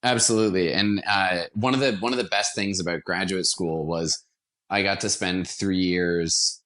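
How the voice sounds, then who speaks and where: A man's voice: sits low, fairly even intonation, moderate pace, fairly bright tone. An American man giving earnest, conversational interview answers as a guest, repeating words for emphasis. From Washington, D.C., United States. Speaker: guest, theater company founder.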